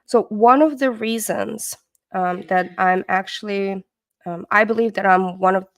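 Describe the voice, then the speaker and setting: A woman's voice: mid-range voice, measured pace, no room sound, clean studio sound. A middle-aged woman whose first language is Russian, born in the Soviet Union, hosting a livestream and talking in a loose, rambling way with many hesitations and restarts. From born in the USSR, Ukrainian background. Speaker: livestream host. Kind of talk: casual livestream commentary.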